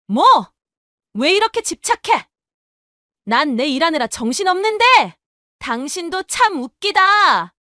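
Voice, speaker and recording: Woman doing brazen snippy young daughter in law, super high voice, swings all over, sarcastic, audio drama. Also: big pitch swings, a little slow, pushed and bright, no echo, exaggerated voice. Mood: indignant, scornful.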